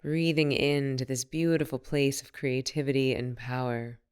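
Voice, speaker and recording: Smooth-voiced woman guiding a meditation. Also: extremely low pitch, fairly even intonation. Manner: soothing, unhurried, gently instructive. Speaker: meditation teacher. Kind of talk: guided meditation.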